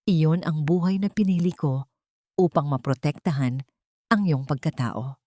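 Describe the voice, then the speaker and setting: A woman with a Filipino accent voicing a dignified, gentle older mother in an audio story, earnest and measured. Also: sits low, dry recording. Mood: earnest, remorseful. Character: dignified, gentle older mother confessing long-kept secrets.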